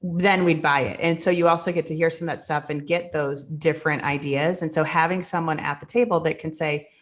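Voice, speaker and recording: A woman's voice: low voice, fairly even intonation, a little fast. A woman co-hosting a podcast who explains points with examples and moves the talk from topic to topic. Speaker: podcast co-host. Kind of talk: casual conversation.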